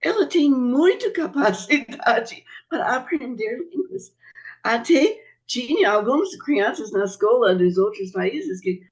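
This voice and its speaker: A middle-aged woman who learned Portuguese late in life, speaking on a panel in a halting way with many restarts. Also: on the high side. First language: not Portuguese. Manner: halting, restarts, laughs often. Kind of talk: panel talk. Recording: dull lo-fi sound.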